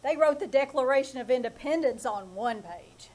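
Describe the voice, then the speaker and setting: A woman's voice: high-pitched, animated, normal speed, darkish tone. A woman playing a long-suffering, wisecracking husband in a live old-time radio comedy, with a dry, sardonic delivery. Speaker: actor playing Ace, the husband. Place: live theater stage.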